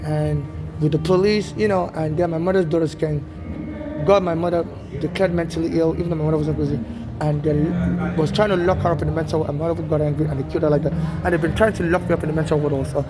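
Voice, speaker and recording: A man's voice: a little high, fairly even intonation, fast, loud music behind the voice, rough recording. A man from Nigeria with a Nigerian-tinged American accent, talking in an urgent, repetitive street monologue with many restarts. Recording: street noise outdoors.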